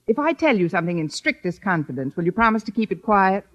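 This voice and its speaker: A woman playing a wisecracking high school English teacher with dry, sardonic timing in an old-time radio comedy. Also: very animated, fast, dull audio, close to an everyday voice. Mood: wry, dry. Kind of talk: 1940s-50s radio comedy acting.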